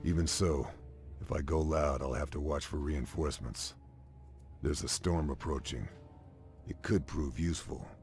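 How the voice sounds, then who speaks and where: An actor playing a grim, solitary army sniper, narrating a war game's cutscenes in a terse, deadpan, measured way. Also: male voice, a bass voice. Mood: grim, calculating. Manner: terse, deadpan, measured military briefing delivery. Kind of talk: scripted cutscene narration for a war video game. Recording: music behind the voice. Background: music.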